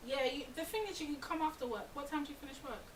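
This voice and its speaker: A woman's voice: high-pitched, rapid-fire, reverberant. A young woman, chatting bluntly and joking with friends on a podcast. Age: 20s. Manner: blunt, joking, laid-back. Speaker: podcast co-host. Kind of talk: casual chat among friends. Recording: distant mic with hiss.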